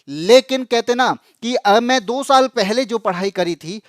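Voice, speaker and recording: A man's voice: sits high, no echo, clean studio sound. A man presenting an explanatory news update to students, emphatic and repeating phrases for stress. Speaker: exam coaching channel presenter.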